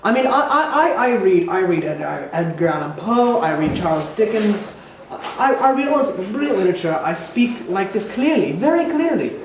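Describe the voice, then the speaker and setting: A man's voice: high voice, animated, a little fast, background noise. A man voicing an aggrieved, well-spoken Bigfoot being interviewed in a comedy sketch, plaintive and stammering. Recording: roomy echo, music clearly behind.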